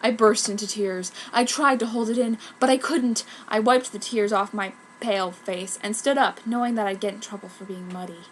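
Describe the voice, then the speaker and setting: A woman's voice: mid-range voice, steady tone, normal speed, few pauses, slight background noise, natural voice, poor audio. A woman voicing a self-pitying, dramatic goth schoolgirl telling her own story in a fanfic reading. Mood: bitter, mournful. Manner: melodramatic. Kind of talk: mock-earnest first-person fanfic narration.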